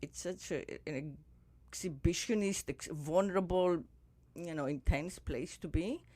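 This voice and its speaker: A woman podcast host in casual conversation, reflective and musing, drifting softer as she thinks aloud. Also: on the low side, measured pace, no room sound.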